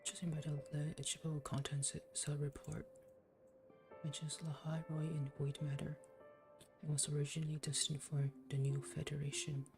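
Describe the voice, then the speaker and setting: Woman, game streamer, flat intonation, casual commentary, reading text aloud, clear music behind. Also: low voice, moderate pace.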